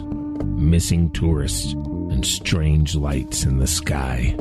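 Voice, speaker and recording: A man delivering a scripted late-night radio promo in a deliberate, mysterious way. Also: extremely low pitch. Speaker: radio show host. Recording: guitar music behind the voice. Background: guitar music.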